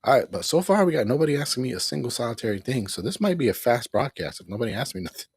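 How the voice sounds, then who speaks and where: A middle-aged man talks loosely on a home livestream, circling back over the same points and chuckling now and then. His low voice is husky and gravelly and swings up and down a lot. The sound is close and dry. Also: big pitch swings, very fast, no echo. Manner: rambling, repeats himself, chuckles often. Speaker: livestream host. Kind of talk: casual live broadcast chat.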